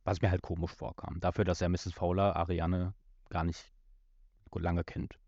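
A man with a lowish voice chats as a podcast co-host, casually picking apart an audio drama. The recording is dry and slightly muffled. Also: little pitch movement, normal speed, creaky, a little mellow, no echo.

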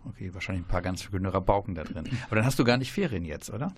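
A man's voice: deep voice, animated, rapid-fire, husky, dry recording. A man hosting a radio show in casual, jokey chat, laughing often.

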